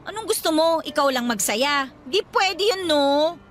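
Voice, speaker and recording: A woman's voice: high-pitched, animated, unhurried, faint music behind. An actress playing a pushy, eager young woman, chatty and playfully insistent, in a Filipino radio drama. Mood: excited, insistent.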